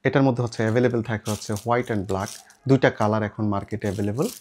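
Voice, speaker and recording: A man's voice: on the low side, fairly even intonation, racing. A man with a Bangladeshi accent gives an informal, step-by-step product demonstration as a seller, mixing in English words. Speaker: shop seller presenting products. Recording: clear background noise with clicks.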